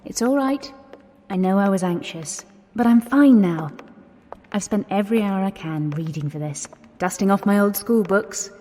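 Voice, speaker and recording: An actress as an earnest young English woman in a period radio drama. Her voice is mellow and medium in pitch, with an anxious, questioning edge. It carries a long reverberant tail, as in a big stone interior. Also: moderate pace, natural voice. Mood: earnest, reassuring. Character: anxious, earnest, self-taught young working-class Englishwoman, Agnes. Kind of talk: 1920s-set radio drama acting. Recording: reverberant hall-like space.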